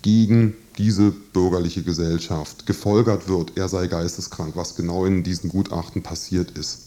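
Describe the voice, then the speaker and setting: A man giving spontaneous spoken commentary on a court case, halting and deliberate as he searches for exact wording. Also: low voice, fairly even intonation, dark tone, slight background noise.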